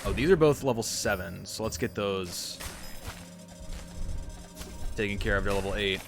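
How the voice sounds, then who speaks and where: Low-voiced man narrating his gameplay. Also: moderate pace, vocal fry, over music. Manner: thinks aloud, answers chat, self-mocking asides. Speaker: streamer. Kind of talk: casual gameplay livestream commentary.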